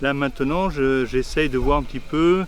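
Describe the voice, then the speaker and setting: A man taking part in a bronze workshop, interviewed for a documentary, halting and searching for his words. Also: mid-range voice, slight background noise. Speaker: workshop participant.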